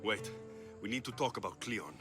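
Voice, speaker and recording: Man playing a defiant, lively-voiced warrior hero. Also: on the low side, normal speed, natural voice. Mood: wry. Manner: blunt, dry, challenging. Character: defiant, sardonic male ancient Greek warrior hero. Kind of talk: dramatic video game cutscene acting. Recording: music behind the voice.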